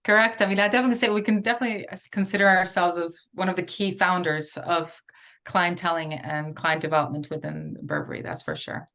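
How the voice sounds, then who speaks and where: A woman's voice: lowish voice, fairly even intonation, fairly quick, a bit bright. A woman in her forties, from Portugal, answering interview questions in a hesitant way, with many fillers and restarts. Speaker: interview guest. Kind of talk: casual interview conversation.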